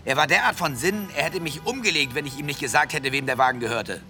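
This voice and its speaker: A man's voice: on the high side, fast talker, sharp tone, soft background music. An actor playing an arrogant, aggrieved wealthy man in a German radio drama, blustering and indignant as he defends himself. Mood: defensive, agitated.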